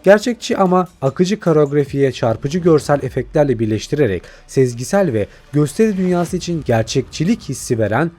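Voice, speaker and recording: A man's voice: mid-range voice, darkish tone. A man delivering scripted video essay narration as a channel host.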